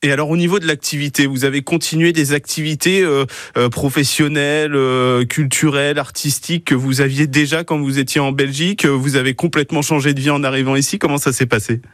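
A man's voice: a little high, fairly even intonation, fairly quick, hardly any pauses, fairly bright tone, dry recording, clean studio sound. A man interviewing a guest on the radio in a conversational way, rephrasing his questions as he goes.

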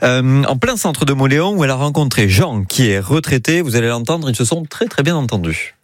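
A man presenting a live radio morning show, introducing a field report in a smooth, sing-song manner. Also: mid-range voice, expressive, fairly quick, no echo, clean studio sound. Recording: music bed under voice.

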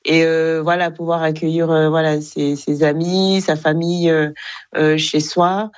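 A young woman from Rwanda, guest on a radio interview, who speaks hesitantly with many fillers and soft laughs. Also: low-pitched, little pitch movement, a little slow, no echo, lo-fi sound.

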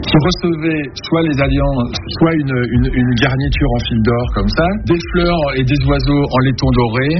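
A man's voice: mid-range voice, moderate pace, hardly any pauses, background noise. A man chatting casually and enthusiastically as an antiques dealer explaining objects. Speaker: antiques dealer, regular radio guest. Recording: slightly dull radio sound with music.

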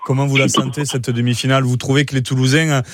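A man hosting a radio sports interview in a studio, asking brisk, friendly questions. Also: medium pitch, little pitch movement, very noisy. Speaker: radio host.

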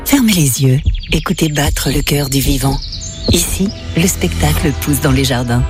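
A woman reading a radio advertisement in a calm, soothing, scripted way. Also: low-pitched, moderate pace. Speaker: radio ad voice-over. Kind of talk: scripted ad narration. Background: music bed.

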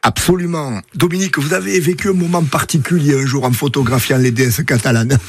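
A man working as a radio reporter, interviewing a guest in a measured way. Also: a little high, husky, faint music behind.

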